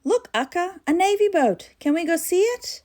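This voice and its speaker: A woman's voice: very high-pitched, normal speed, voice pushed up. A woman voicing an eager, impatient, headstrong young boy in a children's storybook. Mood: excited, pleading. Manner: excitable, pushy.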